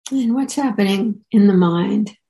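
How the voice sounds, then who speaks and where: Slow, creaky-voiced woman guiding meditation. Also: mid-range voice. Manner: unhurried, gentle pauses. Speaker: meditation teacher. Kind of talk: guided meditation.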